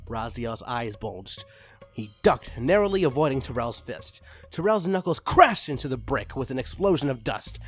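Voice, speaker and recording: Guy reading a fantasy fanfic dramatically, average pitch, music playing underneath. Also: big pitch swings, moderate pace, fairly bright tone. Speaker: reader of fan fiction. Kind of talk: dramatic story narration.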